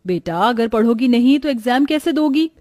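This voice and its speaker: A woman's voice: on the high side, expressive, moderate pace, a little mellow, dry recording, studio quality, natural voice. A woman playing a doting wealthy mother in a cartoon story, fussing and coaxing. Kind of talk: Hindi cartoon moral story.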